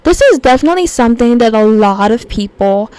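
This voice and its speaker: A woman's voice: a little high, expressive, fairly quick, warm tone, clean tone, no echo. A young woman hosting a solo podcast, talking in a casual, reflective way with lots of fillers. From United States. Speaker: podcast host.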